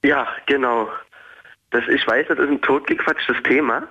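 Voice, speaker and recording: Bright, husky teenage man with light Saxon accent. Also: mid-range voice, moderate pace. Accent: light Saxon (Dresden). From Germany. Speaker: radio caller. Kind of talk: casual chat. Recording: dull lo-fi phone call.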